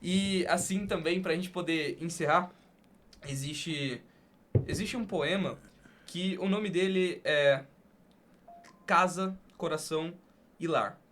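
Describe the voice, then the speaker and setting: A man with a somewhat high-pitched voice hosts a podcast. His delivery is deliberate and conversational, leaning poetic, with emphatic pauses between phrases. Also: background noise. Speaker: podcast host. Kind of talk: conversational hosting and spoken-word poem recital.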